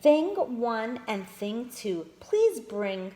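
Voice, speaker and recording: A woman reads a picture book aloud to small children, slowly and with a bouncy, sing-song rise and fall. Her voice sits fairly high, and she keeps turning to her listeners as friends to show them the pictures. The sound carries an echo from the room. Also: very animated, dark tone, a little hiss or hum. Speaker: children's storyteller. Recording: echoey room.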